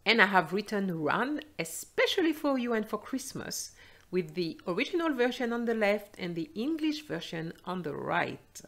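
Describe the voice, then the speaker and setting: A woman teacher reading and presenting in a slow, expressive storytelling style for language learners. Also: mid-range voice, gravelly.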